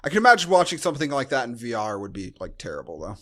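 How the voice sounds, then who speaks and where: Animated, sarcastic man on a podcast. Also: medium pitch, very fast. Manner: sarcastic, mocking, sweary. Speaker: podcast co-host. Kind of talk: casual film discussion chat.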